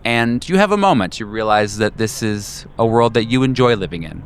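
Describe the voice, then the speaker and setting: Smooth, low-voiced man narrating a game. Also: expressive. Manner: deliberate, descriptive, pausing between phrases. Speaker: game master. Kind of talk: narrating a horror tabletop game.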